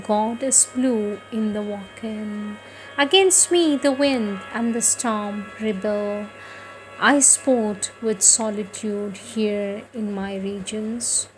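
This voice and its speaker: A woman reading spiritual texts and poetry in a solemn, deliberate, reverent recitation, repeating phrases for emphasis. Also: fairly high pitch, a little hiss or hum, low-quality recording. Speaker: reader of devotional writings.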